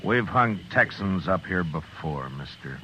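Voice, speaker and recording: An actor playing a stern, terse frontier US marshal who narrates and talks gruffly, in 1950s radio drama. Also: male voice, low voice, expressive, unhurried, sharp tone, lo-fi sound, slight background noise, full character voice. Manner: curt, gruff, commanding. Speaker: narrator and lead.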